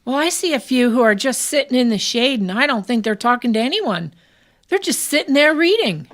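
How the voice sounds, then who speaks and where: Woman acting curious sightseer gal, radio drama, really high animated voice, sounds amazed. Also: fairly quick. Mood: curious, excited. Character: wide-eyed, curious woman sightseer in a river town. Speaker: actor in a community radio play. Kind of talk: scripted radio theatre dialogue.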